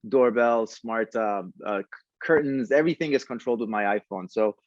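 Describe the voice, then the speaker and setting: A man giving conversational answers as a podcast guest, speaking in a halting way with frequent pauses. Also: medium pitch, no echo, dull audio. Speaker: podcast guest, tech entrepreneur.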